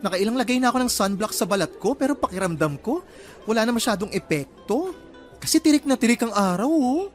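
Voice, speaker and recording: A man's voice: extremely high pitch, lively intonation, fairly quick, faint music behind. A man playing a flamboyant, sassy college theater student in a radio drama, with a campy, playful, swooping delivery. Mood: boastful, sassy. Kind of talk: Filipino radio drama acting.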